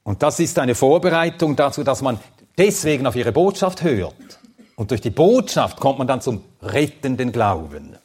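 A man's voice: medium pitch, very animated, moderate pace, darkish tone, husky. Swedish-born man teaching a Bible class. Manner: thoughtful, plain-spoken, with hesitant fillers. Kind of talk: lecture with audience questions.

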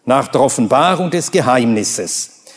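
Emphatic Swedish-native preacher, reverberant hall. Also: male voice, expressive, unhurried. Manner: emphatic, rising to high ringing exclamations. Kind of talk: sermon with Bible reading. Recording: long reverb of a large hall.

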